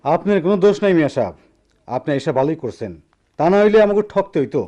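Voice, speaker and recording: An actor playing an impatient, offended young bridegroom in a radio drama, with a rural Bangladeshi accent. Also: male voice, a little high, sing-song, quick pace, mellow, dull audio. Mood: offended, hurried. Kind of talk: Bengali radio play acting.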